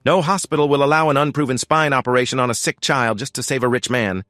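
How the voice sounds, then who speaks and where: A man doing an urgent, forceful female lawyer. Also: mid-range voice, steady tone, quick pace, no echo, studio quality, pitched above the performer's own voice, natural voice.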